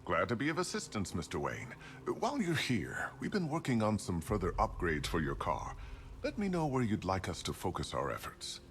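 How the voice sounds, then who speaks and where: A man's voice: sits low, huge pitch swings, normal speed, slight background noise. A man voicing an older tech expert who is polite and fatherly, with dry humor. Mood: courteous. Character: older male tech expert, calm and courteous. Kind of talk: video game voice acting.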